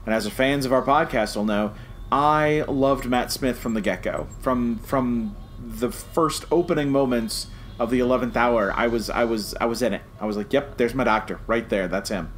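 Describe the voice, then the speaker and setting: A man's voice: mid-range voice, normal speed. Opinionated man, faint background noise. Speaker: podcast co-host. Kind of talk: casual review chat.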